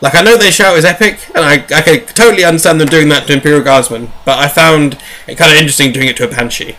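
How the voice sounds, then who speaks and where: A man's voice: fairly high pitch, quick pace, sharp tone. A man hosting a podcast, recapping in a casual, rambling, jokey way with wry asides. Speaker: podcast host. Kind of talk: casual chatty book recap.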